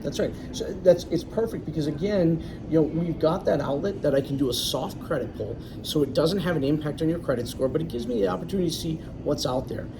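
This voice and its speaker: A man's voice: on the high side, fast, few pauses. A man, a loan originator, explaining things in a confident, chatty way as a guest. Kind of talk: casual interview. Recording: distant mic, clear background noise.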